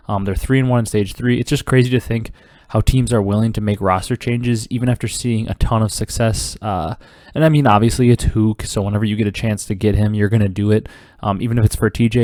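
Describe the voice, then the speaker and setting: A young man with a head cold talks quickly through his solo esports podcast, his voice congested and sitting somewhat low. He rambles and fills his gaps with ums and uhs. The sound is close and dry, with no room around it. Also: no echo. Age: 20s. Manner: rambling, filler-heavy, excited esports talk. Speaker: podcast host. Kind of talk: casual solo podcast commentary.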